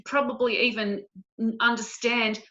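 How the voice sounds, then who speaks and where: A woman's voice: fairly high pitch, little pitch movement, normal speed, pushed and bright, highs cut off. A woman hosting an event interview, speaking in an upbeat, encouraging way.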